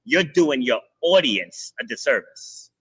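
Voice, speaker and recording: A man hosting a podcast, a fitness coach chatting casually and telling stories in an animated way. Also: medium pitch, measured pace, breathy edge, no echo, rough recording. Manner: animated storytelling, many restarts. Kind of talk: casual interview chat.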